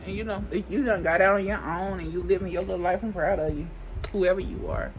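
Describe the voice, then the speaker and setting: A woman's voice: fairly low pitch, fast talker, slight background noise, poor audio. A woman in her twenties chatting casually to camera while doing her makeup, rambling and restarting her sentences, with speech. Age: 20s. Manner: rambling, thinks aloud with many restarts and "like". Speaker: beauty vlogger.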